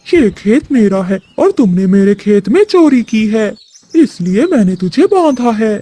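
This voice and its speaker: A woman's voice: medium pitch, big pitch swings, a little slow, dark tone. A woman voicing a stern male hawk, a farm owner in a children's cartoon, scolding and accusing. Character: stern male hawk who owns a cornfield. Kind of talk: Hindi children's cartoon voice acting.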